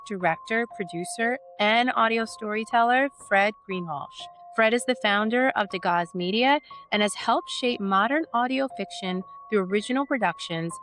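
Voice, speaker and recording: Woman podcast host, mid-pitched voice, doing an interview. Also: animated, moderate pace, hardly any pauses, fairly bright tone, soft background music.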